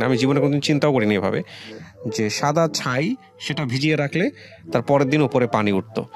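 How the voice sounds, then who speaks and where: A Bangladeshi man hosting a conversational life-story radio interview, speaking in a reflective, deliberate way with some English words mixed in. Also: mid-range voice. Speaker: radio show host. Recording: music under the voice. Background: background music.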